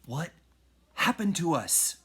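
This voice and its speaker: A man acts out an earnest young boy adventurer from a storybook. The delivery is emphatic and full of puzzled wonder, with pitch that jumps and swings widely. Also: very animated, takes it slowly, a bit bright, husky, exaggerated voice. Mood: bewildered.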